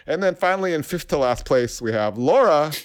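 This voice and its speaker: A man's voice: a little high, expressive, fairly quick. A man co-hosting a podcast, recapping a show in casual chat and laughing easily. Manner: laughs easily, reads out summaries. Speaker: podcast co-host.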